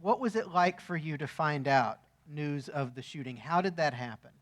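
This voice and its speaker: A male museum interviewer with a fairly high voice guides the conversation. He speaks in a measured way and stumbles over a few restarts. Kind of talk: interview questions.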